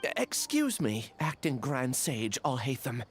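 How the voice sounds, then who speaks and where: A man's voice: mid-range voice, sing-song, a little slow. An actor playing an awkwardly polite, curious male witness in a video game, hesitant and deferential. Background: faint music.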